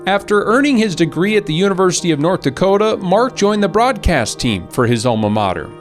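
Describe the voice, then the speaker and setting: A man's voice: high-pitched, big pitch swings, fairly quick. A man with an American accent reading scripted narration for a sports feature. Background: background music.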